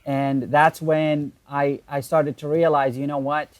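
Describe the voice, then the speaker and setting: A man in his thirties from Iraq, a native Arabic speaker with an Iraqi accent, telling his story as a guest over a video call, relaxed and halting with fillers. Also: fairly high pitch, dry recording. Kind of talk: casual interview storytelling.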